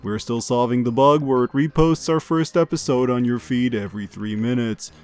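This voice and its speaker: A man acts out a chipper male podcast host reading comedic park news. He rambles in an upbeat, offhand way and stays deadpan through absurd items, at a middling pitch over background music. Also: moderate pace, clean tone, natural voice. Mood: breezy, deadpan. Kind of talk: scripted comedic news monologue.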